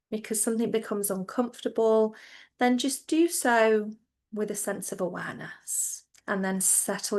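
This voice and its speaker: A woman teaching yoga nidra, guiding listeners into relaxation in a gentle, reassuring, informal way. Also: medium pitch. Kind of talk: guided relaxation instruction.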